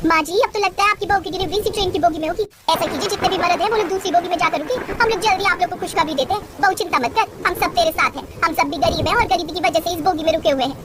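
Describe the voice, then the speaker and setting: A woman's voice: very high-pitched, racing, hardly any pauses, very noisy. A woman voicing kindly, earnest women passengers in a children's story cartoon, motherly and reassuring.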